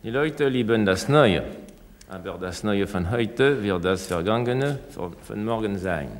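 A man playing a contrary, self-effacing experimenter in a staged radio interview, answering tersely and deliberately, with long reverb. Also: fairly low pitch, expressive. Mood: dryly contrary.

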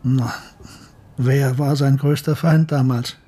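A husky, mid-pitched voice for a shrewd professor in a radio drama. He is reserved and guarded, leading the listener along with understatement. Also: steady tone, slow pace, dark tone. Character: shrewd, reserved German male professor, also the narrator. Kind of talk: radio drama dialogue and narration.

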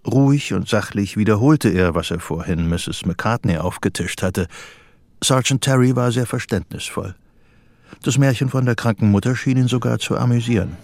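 Low, creaky male narrator, dry sound. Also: normal speed, darkish tone, dry recording. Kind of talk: scripted literary narration for radio drama.

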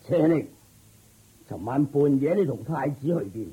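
A man's voice: mid-range voice, lively intonation, a little slow, mellow, slightly rough, rough recording. A man playing an anxious king and worried father in a radio drama, sighing and demanding as he speaks. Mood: alarmed, demanding.

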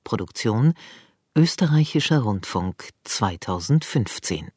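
Male announcer, formal scripted credits, expressive pitch movement, dry studio sound. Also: a little slow, husky, no room sound. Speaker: radio announcer. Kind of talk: scripted radio drama credits.

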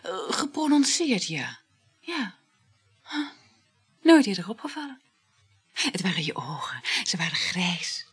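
Radio play mistress: clingy, sighing, whispery and husky, with an expressive rise and fall. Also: female voice, medium pitch, a little slow, long pauses, full character voice. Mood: hesitant, teasing. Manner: languid, sighing, dropping into breathy whispers. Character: needy, adoring mistress, insecure and short of money. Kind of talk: intimate radio play dialogue.

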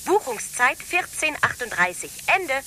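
A woman's voice: high voice, sing-song, normal speed, bright, forceful tone, slight background noise, full character voice. A woman playing an impassive, efficient secretary in a satirical radio play, speaking in clipped, telegraphic reports. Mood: businesslike.

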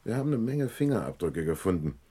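A police inspector in a vintage radio crime drama. His voice is low, and his delivery is measured and official. He is courteous on the surface, stern and methodical underneath. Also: sing-song, dark tone, breathy edge, full character voice. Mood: stern, insinuating. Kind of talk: vintage German radio crime play acting.

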